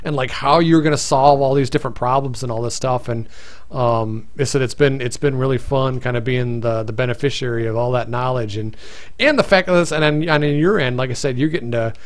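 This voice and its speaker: A man's voice: medium pitch, steady tone, brisk. Male stream host, casual and rambling, voice wearing out. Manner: rambling, many restarts and fillers. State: voice getting tired. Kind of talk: casual live-stream chat.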